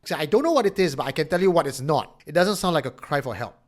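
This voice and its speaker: A man's voice: a little high, expressive, quick pace. A man hosting a casual panel discussion, talking conversationally. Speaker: show host.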